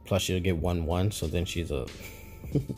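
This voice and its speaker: A man's voice: deep voice, steady tone, moderate pace, darkish tone, faint music behind. A man casually talking through a card game for his channel, chatty and rambling, laughing at his own slips. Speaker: gaming YouTuber. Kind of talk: casual gameplay commentary.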